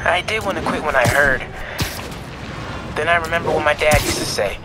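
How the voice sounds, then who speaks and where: Earnest teenage boy over loud noise man acting. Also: a little high, moderate pace, lightly acted. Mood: earnest, subdued. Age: young. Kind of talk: dramatic game voice acting.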